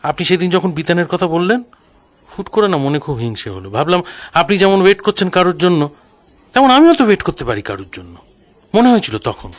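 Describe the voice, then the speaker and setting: A man's voice: high voice, big pitch swings, brisk, dry recording. A man playing a sheepish but cheekily persistent young suitor, coaxing and wry, in a romantic comedy audio drama. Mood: confessing.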